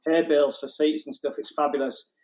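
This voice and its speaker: A man speaks in a slightly higher register, telling wedding stories from behind the camera. His talk is casual and anecdotal, broken by easy laughter. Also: little pitch movement, brisk, a little mellow. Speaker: wedding photographer guest.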